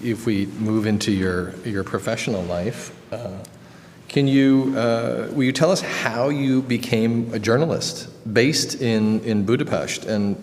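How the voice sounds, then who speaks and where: A man with an average-pitched voice hosts an interview, asking polite and encouraging questions. His voice rings with the long reverb of a hall, over a faint noise floor. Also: fairly even intonation, unhurried, darkish tone. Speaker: interview host.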